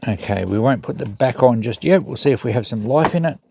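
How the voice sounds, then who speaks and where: A man's voice: mid-range voice, lively intonation, brisk, creaky, noisy background. A man giving casual, unscripted commentary as he thinks aloud through a hands-on repair job. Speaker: host of a repair channel.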